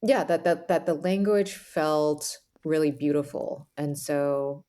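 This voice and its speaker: A woman's voice: low-pitched, takes it slowly, mellow. A woman speaking as an author guest in a reflective, conversational interview, often dropping in "like" and "you know".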